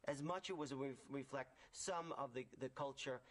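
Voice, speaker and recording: A man's voice: mid-range voice, moderate pace. A man, an archaeologist, explaining his finds on location with enthusiasm, thinking aloud and restarting his sentences.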